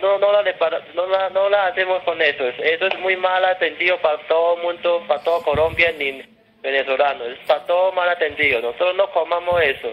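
A man's voice: on the high side, brisk. A man with a Chinese accent answering a phone call, curt, speaking in broken grammar. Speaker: restaurant worker answering phone. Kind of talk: phone conversation. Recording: slightly noisy phone call.

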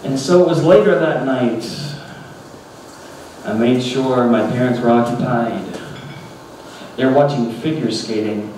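A man in his thirties doing stand-up, telling a childhood story with animated, ranting bursts into a handheld mic on a small club stage. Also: mid-range voice, unhurried. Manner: animated, ranting bursts when acting out his younger self. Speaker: stand-up comedian. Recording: roomy club sound from a distant camera. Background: audience laughter and room noise.

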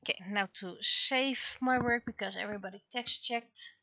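A woman chatting casually on a livestream as she works, thinking aloud in short, halting phrases. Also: on the high side, bright, forceful tone, dry recording, dull audio. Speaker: livestreamer and webcomic artist. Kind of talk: casual livestream chat while working.

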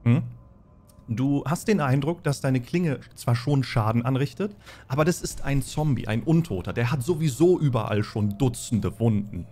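A man's voice: medium pitch, expressive, moderate pace, a little mellow. A man narrating and refereeing a tabletop game as game master, explaining things as he goes. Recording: faint music behind the voice. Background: faint music.

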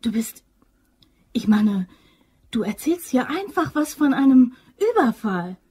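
Hesitant, husky higher-voiced young-sounding bookkeeper. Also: female voice, big pitch swings, unhurried, heavily acted. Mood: anxious, disbelieving. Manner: naturalistic, questioning, often dropping to a hushed voice. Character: hesitant, fond female office bookkeeper, easily alarmed. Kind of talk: German crime radio drama acting.

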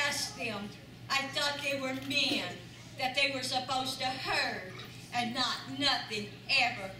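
Older woman giving church testimony. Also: fairly high pitch. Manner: earnest, emphatic repetition. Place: large church sanctuary with audience. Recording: echoey sanctuary, voice from far off, noisy.

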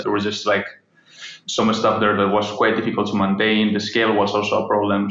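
Man, low monotone guest voice, echoey dull remote line. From Spain. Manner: thinking aloud, with fillers. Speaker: analytics engineer guest. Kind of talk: casual interview answers. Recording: roomy, dull remote audio.

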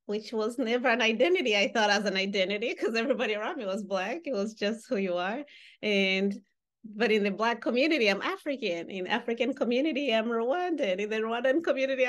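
A woman in her thirties, who grew up in Uganda, leading an online workshop on a video call and talking directly to the participants. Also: on the high side. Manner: animated, gestures while speaking, engages participants directly. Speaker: workshop facilitator. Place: home room with wall baskets. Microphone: webcam on a video call.

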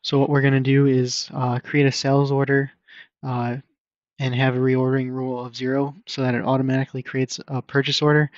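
Man, very monotone, casual software tutorial narration, informal and hesitant, dry dull lo-fi recording. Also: mid-range voice, no room sound. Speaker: software demo presenter. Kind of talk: casual screen-share software walkthrough.

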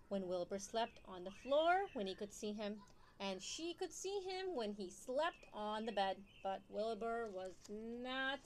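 A young woman reading a picture book aloud to young children in an expressive, questioning storytime manner. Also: medium pitch, slight background noise. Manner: expressive, asks listeners questions. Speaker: storytime reader.

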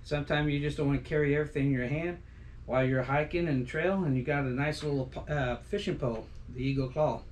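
A man's voice: on the high side, fast, a little hiss or hum. A middle-aged man chatting loosely at home for his outdoor vlog, folksy and full of restarts and fillers. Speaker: outdoor vlogger. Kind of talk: rambling casual vlog chat. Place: small decorated home room, indoors.

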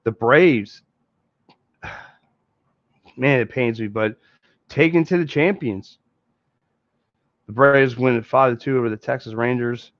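A man's voice: medium pitch, slow pace, long pauses, dry recording. A man in his thirties with a Philadelphia accent, hosting a casual postgame sports podcast and rambling with frequent repeats and restarts. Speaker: podcast host. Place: small indoor room in front of a green screen. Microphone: headset mic. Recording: dull lo-fi dry sound.

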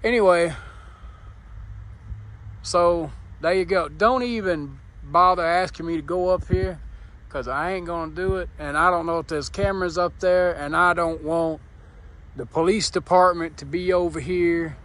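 A man's voice: unhurried, a bit bright. A man who drives trucks, talking casually to viewers in a folksy, informal way while filming outdoors on a phone. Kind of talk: casual vlog narration to viewers. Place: outdoors on a roadside at night. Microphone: handheld phone. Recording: clear outdoor vehicle noise behind voice. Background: rumbling truck engine.

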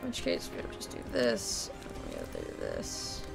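A woman's voice: a bass voice, wildly swooping pitch, measured pace, vocal fry. Gleeful young woman streaming a game. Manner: gleeful, laughs often, swears casually. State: voice slightly strained. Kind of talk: casual gameplay commentary. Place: small room at a gaming desk. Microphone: desk mic, webcam at computer. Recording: game music faintly behind.

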